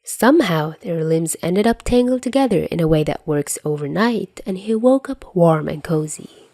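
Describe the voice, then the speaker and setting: A woman reading fiction aloud as an expressive storyteller. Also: fairly low pitch, moderate pace, no room sound, clean studio sound. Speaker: podfic narrator.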